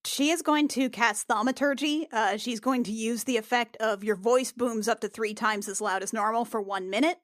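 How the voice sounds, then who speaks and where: A woman's voice: fairly high pitch, normal speed, hardly any pauses, dry recording, clean studio sound. A woman talking casually as a player in a tabletop roleplaying game, describing what her character does.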